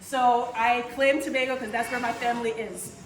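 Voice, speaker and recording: A woman's voice: on the high side, little pitch movement, moderate pace. A woman in her thirties, from Barbados, giving an earnest talk to a church audience and asking them questions. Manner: earnest, restarts phrases, asks the audience questions. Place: church in the Caribbean. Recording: echoey church room from far off, faint noise.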